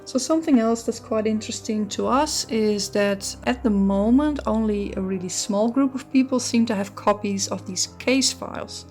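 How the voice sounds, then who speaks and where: A woman's voice: a little high, normal speed, hardly any pauses, darkish tone, soft background music. A woman from the Netherlands with a strong Dutch accent, narrating a casual book review and thinking aloud as she goes. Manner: chatty, thinking aloud with frequent ums and restarts. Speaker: true crime channel host.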